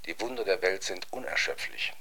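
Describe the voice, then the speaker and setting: A man's voice: deep voice, brisk, creaky, bright, forceful tone, slightly rough, full character voice, low-quality recording. A man as kindly, worldly-wise flamingos. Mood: kindly advising. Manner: kindly, advising. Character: flock of friendly, worldly-wise pink flamingos. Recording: music playing behind the voice.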